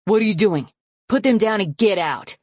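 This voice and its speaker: A woman's voice: medium pitch, normal speed, dry recording, voice pushed up, natural voice. A woman voicing a furious, abusive middle-aged father in a story reading, speaking in a snarling, menacing way. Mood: menacing, angry.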